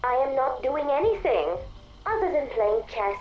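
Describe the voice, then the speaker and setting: An actress voicing a calm, weary, coolly defiant female artificial intelligence in a video game audio log, with a measured, detached delivery. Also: sits high. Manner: deliberate, detached. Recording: dull lo-fi audio log, faint noise.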